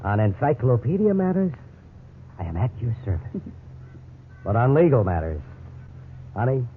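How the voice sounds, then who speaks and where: An American actor playing a genial, slightly sheepish husband in a 1950s radio drama. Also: male voice, mid-range voice, big pitch swings, moderate pace, natural voice. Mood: relieved, playful. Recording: tinny, noisy 1950s radio recording.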